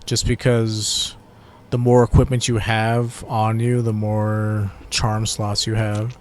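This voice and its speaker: A man's voice: fairly low pitch, level tone, takes it slowly, darkish tone. A young adult man with a tired voice, giving laid-back, slangy gameplay commentary and swearing at mishaps. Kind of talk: casual let's-play commentary.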